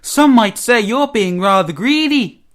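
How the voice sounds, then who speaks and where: Man doing a high, snippy little steam engine. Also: animated, a little slow, a bit bright.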